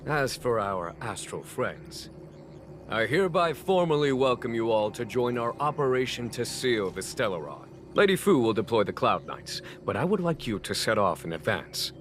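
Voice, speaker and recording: An actor playing a calm, wry military general in a video game, speaking in a measured, formal and courteous way. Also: male voice, medium pitch, slight background noise. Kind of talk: scripted video game dialogue. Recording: background music under the voice.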